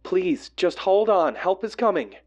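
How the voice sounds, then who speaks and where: A woman's voice: on the low side, very animated, unhurried, darkish tone. A woman playing an anxious, urgently pleading 911 dispatcher in a horror audio story.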